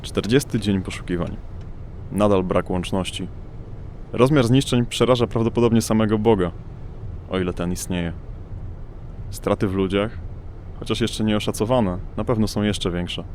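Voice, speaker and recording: A man's voice: fairly low pitch, normal speed, pauses often, darkish tone, a little hiss or hum, natural voice. A man acting as a weary, solitary searcher of storm-ravaged homes in an audio drama. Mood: grim, weary.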